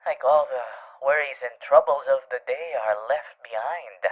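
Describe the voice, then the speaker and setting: A man's voice: medium pitch, moderate pace, close to an everyday voice. A man voicing a suave, courtly radio demon who is teasing yet tenderly devoted, in an ASMR roleplay. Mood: tender, soothing. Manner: courtly, theatrical, hushed turns into gleeful chuckles.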